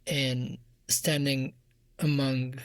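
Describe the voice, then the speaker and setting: A man with an Afghan accent speaks softly at a medium pitch. He answers as an interview guest in a reflective style. The sound is dry, with hardly any room in it. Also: flat delivery, drawn-out words, no room sound. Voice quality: soft voice. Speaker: interview guest, musician and music teacher.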